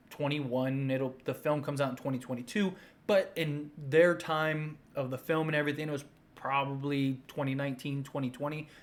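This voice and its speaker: A man giving casual, unscripted opinion commentary as a fan host, enthusiastic and emphatic, rambling and circling back on his points. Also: on the high side.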